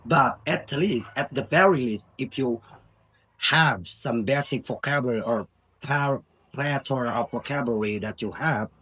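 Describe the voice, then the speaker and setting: A man with an Indonesian accent speaking English as a non-native language, giving informal advice in a halting way and repeating words and phrases. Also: medium pitch, a bit bright, slightly rough, rough recording. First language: Indonesian, Sundanese and Javanese. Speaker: language learner giving study tips.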